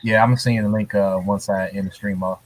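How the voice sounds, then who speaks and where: A man with a fairly low voice co-hosts a casual gaming podcast, chatting in a loose, rambling way full of fillers. He talks into a home streaming mic. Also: fairly even intonation, quick pace, a little hiss or hum, poor audio. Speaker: podcast co-host. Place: hurricane-prone US city.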